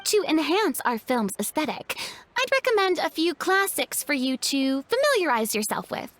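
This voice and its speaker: High-voiced theatrical young lady. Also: big pitch swings, moderate pace, a bit bright, a little hiss or hum. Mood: earnest, eloquent. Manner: grandiose, eloquent, encouraging. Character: theatrical, refined young woman, eager film consultant. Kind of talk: video game voice acting.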